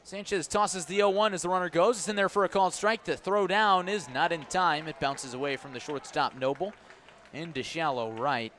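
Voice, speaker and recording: A man's voice: fairly high pitch, moderate pace, fairly bright tone. A man calling live baseball play-by-play on radio in a descriptive way, raising his voice on the action. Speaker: play-by-play announcer. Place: outdoors at a ballpark. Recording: outdoor ambient noise behind.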